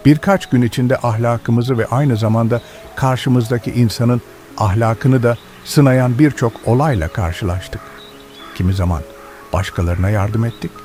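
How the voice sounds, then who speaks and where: A man's voice: medium pitch, lightly acted. An actor playing a kind, pious old village grandfather who teaches patiently in a children's cartoon. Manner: instructive, gentle moralizing. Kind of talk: educational children's cartoon dialogue.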